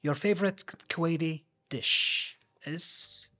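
A man's voice: a little high, slow pace, bright, forceful tone. A man in his thirties hosting a podcast interview in a studio, affirming the guest and finishing her sentences. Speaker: podcast host. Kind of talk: casual interview talk. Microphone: studio mic on stand.

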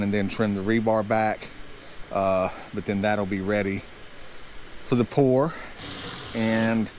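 A man in his thirties casually narrating a walkthrough as a builder, in an easygoing, folksy and somewhat hesitant way. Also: mid-range voice. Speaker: builder hosting a build vlog. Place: outdoor construction site. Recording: outdoor site noise, faint vehicle sounds.

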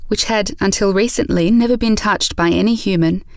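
A woman's voice: mid-range voice, a little fast, vocal fry. A woman reading scripted story narration for an audio drama.

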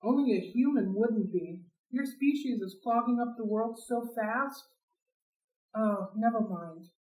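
A woman voicing an earnest young male satyr, speaking slowly and plaintively, recorded in a roomy space from a distance. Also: on the high side, darkish tone, natural voice. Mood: sad. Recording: roomy sound, mic at a distance.

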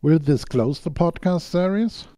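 A man interviewing on a podcast with a fairly high, very animated delivery. His tone is on the mellow side, and he speaks prepared lines in a dry recording. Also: measured pace, no room sound. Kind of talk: scripted interview questions and sign-off.

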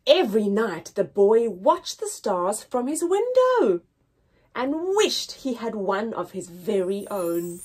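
A young woman reading a picture story aloud for small children, expressively, with deliberate pauses and emphasis and a slightly husky voice. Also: mid-range voice, sing-song, darkish tone. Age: young adult. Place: indoors, small room with painted backdrop.

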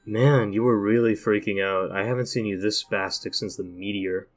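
A man voicing a laid-back, deadpan cool young guy in a cartoon dub. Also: on the low side, moderate pace, faint music behind, close to an everyday voice. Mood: unbothered. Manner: deadpan, unbothered drawl. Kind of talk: animated web comic dub acting.

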